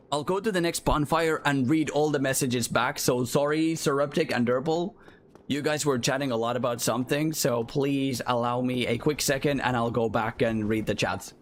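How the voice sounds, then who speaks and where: A man's voice: mid-range voice, slight background noise. A man from Finland, chatting as a streamer over live gameplay and reading chat aloud, often apologizing.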